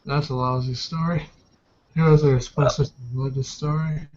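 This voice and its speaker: A man's voice: fairly high pitch, steady tone, fairly quick, a little mellow. A man in casual, rambling, informal chat as a player in a tabletop roleplaying game. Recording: dull lo-fi voice recording.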